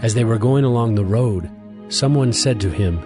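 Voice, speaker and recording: A man narrating scripture from a script as a measured storyteller. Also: lowish voice. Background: music.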